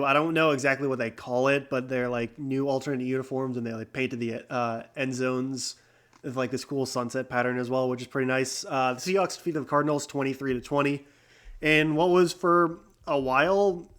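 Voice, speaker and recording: A man's voice: mid-range voice, a bit bright, clean tone. A young man hosting a casual sports recap, talking with a stuffy nose from a cold, rambling with lots of fillers and restarts. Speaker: podcast host.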